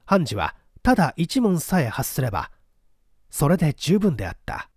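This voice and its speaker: Male reader for mystery fiction: expressive scripted narration, dry close sound. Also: on the high side, moderate pace, breathy edge, dry recording. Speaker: audiobook reader.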